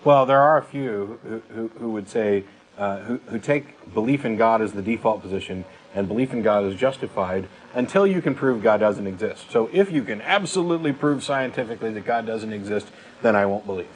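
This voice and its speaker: A man's voice: on the low side, a little fast, poor audio. Emphatic man, faint background noise. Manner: emphatic, assertive. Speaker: talk show host. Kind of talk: conversational debate on a talk show.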